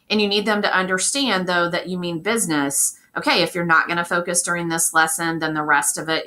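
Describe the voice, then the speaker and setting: A woman with a hoarse, worn voice runs a recorded training session for teachers, and her tone is bright and forceful. She sits a little low in pitch and keeps things chatty, stopping now and then with "okay?" to check everyone is following. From Indiana, US. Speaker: district technology integration specialist. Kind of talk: recorded professional development talk.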